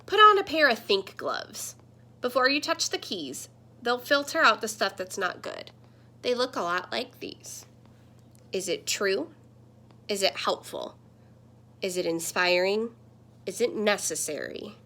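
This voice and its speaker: Storybook talking computer screen, read by a woman: bright, unhurried delivery, admonishing mentor, emphatic. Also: medium pitch, very animated, full character voice. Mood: earnest advising. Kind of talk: children's picture book read-aloud.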